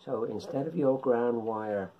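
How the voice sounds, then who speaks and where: A middle-aged man giving an informal tutorial in short, halting phrases, speaking at home into a camera microphone. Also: mid-range voice, narrow, tinny audio. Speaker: amateur radio hobbyist presenter. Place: indoors, living room at home.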